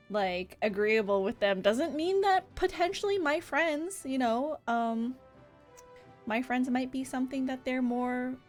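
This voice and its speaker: Woman, livestreamer, highish voice, casual animated chat, faint game music in the background. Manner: animated, giggly, chatty. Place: indoor livestream setup with game music behind.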